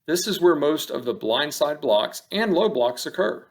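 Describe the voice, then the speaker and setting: A man giving scripted instructional narration over game film like an officiating instructor, in measured, matter-of-fact short phrases. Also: a little high, very animated.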